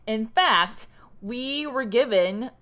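A woman's voice: on the high side, lively intonation, takes it slowly, a bit bright. A woman co-hosting a podcast in casual chat. She talks loosely, laughs often and swears casually. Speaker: podcast co-host.

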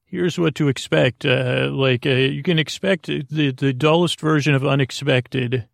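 A man hosting a bedtime podcast with a creaky voice, rambling in a hesitant, mumbling, self-deprecating way with frequent tangents. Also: mid-range voice, fairly even intonation, a little slow, no room sound, studio quality. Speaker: host of a bedtime sleep podcast. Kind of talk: rambling, meandering bedtime monologue.

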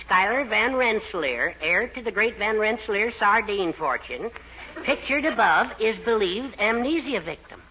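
A sharp, bright voice on a muffled old radio recording, this is a henpecked middle-aged husband. He is meek and gullible, folksy and befuddled in 1940s sitcom style. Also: sits high, animated, moderate pace, slight background noise. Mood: astonished.

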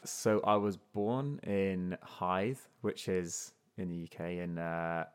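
Male artist guest, low voice, relaxed and reflective, with frequent restarts. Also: takes it slowly, clean tone. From grew up in Portugal, then England. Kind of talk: casual conversational interview.

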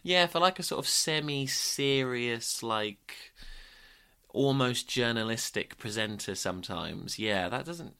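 A man co-hosting a podcast in casual chat, quick and laughing, given to self-mocking asides. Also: mid-range voice, pushed and bright, smooth. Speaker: podcast co-host.

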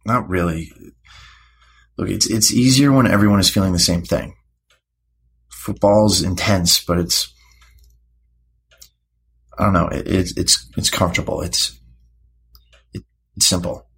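A young man playing an uneasy teenage high school boy in an audio drama, halting and stammering as he searches for words. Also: gravelly, a bit bright, breathy edge, heavily acted. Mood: defensive, hesitant. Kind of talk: naturalistic audio drama acting.

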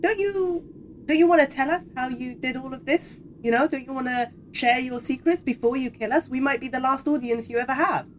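A man's voice: very high voice, brisk, a bit bright, slight background noise, pitched above the performer's own voice. A man voicing a woman investigator who pleads and reasons coaxingly in a tabletop game. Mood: coaxing, pleading.

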